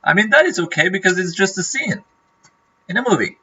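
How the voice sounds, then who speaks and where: A man's voice: sits high, very animated, fairly quick, sharp tone, husky, highs cut off. A man from Norway, a native Norwegian speaker, chatting casually on a livestream and rambling with lots of fillers and restarts. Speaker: streamer.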